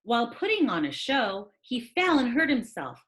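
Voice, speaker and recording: A woman reading a children's story aloud as a teacher, with expressive, dramatic delivery. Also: on the high side, moderate pace.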